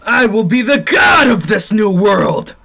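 A slow-spoken, manipulative young man acted out by a male performer in an anime-style ASMR roleplay. He is a possessive boyfriend with delusions of grandeur, deliberate and coldly controlling in every phrase. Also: high voice, very animated, creaky, bright, forceful tone, pitched above the performer's own voice, full character voice. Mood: grandiose, fervent.

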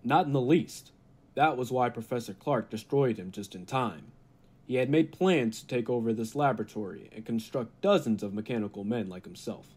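A man's voice: mid-range voice, expressive, moderate pace, darkish tone, slightly rough. A man playing a confident laboratory scientist who explains things dramatically in an old-time radio play. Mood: earnest, ominous. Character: confident male laboratory scientist, eager and unflinching.

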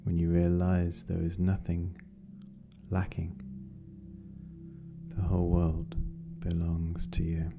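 Very slow, deep-voiced meditation guide. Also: monotone, noisy background. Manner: gentle, unhurried, with long pauses. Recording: dull lo-fi sound, music behind. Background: meditation music.

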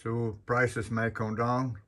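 A man in his eighties from Sweden, a solo sailor explaining his boatbuilding in a casual, halting, plain-spoken vlog in his workshop. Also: medium pitch, level tone, a bit bright. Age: 80s. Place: large indoor boat workshop.

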